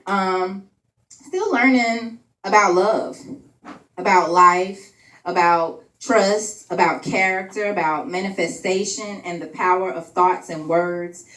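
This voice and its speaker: A woman's voice: fairly low pitch, slow, a bit bright. A woman in her late twenties, a spotlight poet at an open mic, chatting playfully with the crowd between spoken-word pieces. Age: 20s. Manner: chatty and playful with the audience, laughing between bits. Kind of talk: spoken-word poetry and casual stage chat. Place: event venue room. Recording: echoey room, voice from far off.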